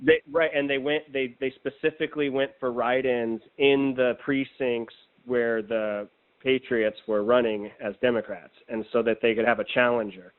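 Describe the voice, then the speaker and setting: A man's voice: mid-range voice, fairly even intonation, moderate pace. A man with an American accent explaining plans in a confident, informal way during a casual phone conversation. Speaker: political organizer explaining a plan. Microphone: telephone. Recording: tinny recorded phone call.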